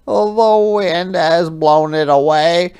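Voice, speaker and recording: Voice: mid-range voice, slow pace, darkish tone, smooth, voice pushed up. A storyteller voicing a kind, polite little hedgehog from a children's picture book, a young and brave animal. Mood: sad.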